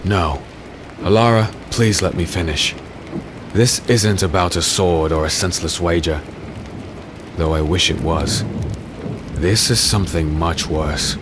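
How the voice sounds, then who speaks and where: A man playing a grave, protective swordsman husband in a dark fantasy audio drama, speaking earnestly with dramatic pauses. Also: low voice, noisy background. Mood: grave, reluctant.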